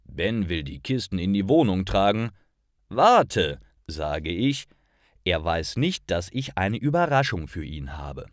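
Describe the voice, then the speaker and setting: A man reading a scripted story for language learners, with clear and careful, expressive enunciation. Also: sits low, measured pace, dry recording, clean studio sound. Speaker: narrator of stories for language learners.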